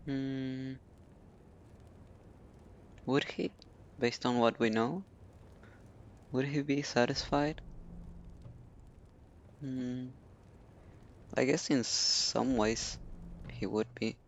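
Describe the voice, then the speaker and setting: A man's voice: mid-range voice, steady tone, very slow, pauses often, slight background noise. A man giving casual, musing commentary as a game streamer, hesitant as he thinks aloud. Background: soft game music.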